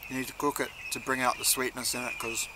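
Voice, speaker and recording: A man's voice: mid-range voice, little pitch movement, quick pace, very noisy. A young man giving a casual, laid-back review to camera in a conversational way. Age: young adult. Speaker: chilli reviewer, video host. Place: outdoors in a leafy garden.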